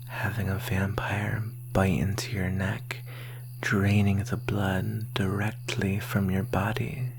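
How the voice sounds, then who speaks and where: Woman playing a very slow, whispering, thirsty vampire. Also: rumbling low, full character voice. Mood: teasing, menacing. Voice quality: breathy, whispered. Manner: slow, teasing, intimate. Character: flirtatious, menacing thirsty vampire lurking in woods. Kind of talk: whispered ASMR roleplay. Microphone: close microphone. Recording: faint steady hiss and hum.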